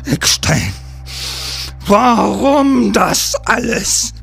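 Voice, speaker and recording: Man as an anguished gravelly detective. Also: very high voice, lively intonation, drawn-out words, a bit bright, soft background music, heavily acted. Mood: vengeful, bitter. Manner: agitated, emotional. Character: anguished male senior detective, desperate, vengeful family father. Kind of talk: audio drama acting.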